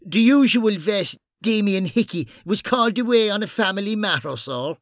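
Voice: medium pitch, animated, normal speed, dry recording, clean studio sound, voice pushed up, close to an everyday voice. A performer voicing a brash, conniving and sardonic policeman in an audiobook, with a theatrical, commanding manner. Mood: mock solemn.

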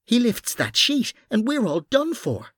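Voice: medium pitch, wildly swooping pitch, a little slow, fairly bright tone, no echo, studio quality, voice pushed up, full character voice. A performer voicing a brash, conniving and sardonic policeman in an audiobook, with a theatrical, commanding manner. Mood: urgent, bossy.

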